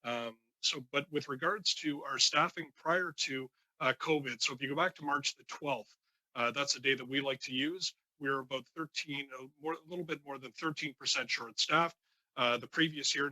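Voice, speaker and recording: Bright, halting man testifying. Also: medium pitch, no room sound, rough recording. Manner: halting, many false starts. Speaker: union president, committee witness. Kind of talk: formal testimony answering questions.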